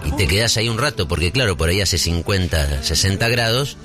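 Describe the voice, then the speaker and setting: A man in his forties with a Buenos Aires accent, delivering a casual, rambling comic monologue as if thinking out loud. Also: low-pitched, expressive, a little fast, music in the background. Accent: Rioplatense Spanish, Buenos Aires. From Buenos Aires, Argentina. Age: 40s. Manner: rambling, conversational, seeks agreement with tag questions.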